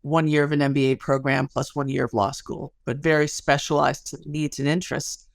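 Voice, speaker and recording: A woman's voice: deep voice, flat delivery, fairly quick, vocal fry, no echo. A woman, a sociologist and podcast guest, explaining things conversationally in an interview.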